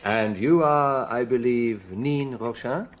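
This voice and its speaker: An actor with a British accent playing a middle-aged French chief inspector in a radio drama, measured, courteous, gentle and shrewd. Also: male voice, medium pitch, slight background noise, natural voice.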